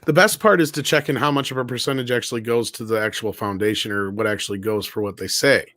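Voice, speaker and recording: A man's voice: lowish voice, steady tone, fast, fairly bright tone, dry recording. Blunt, sweary man in dry close sound. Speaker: online show co-host. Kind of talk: casual opinionated panel chat.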